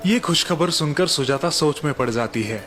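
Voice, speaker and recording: A man's voice: fairly high pitch, moderate pace, slight background noise. A man narrating a village moral story in a scripted storytelling style. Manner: even, explanatory narration.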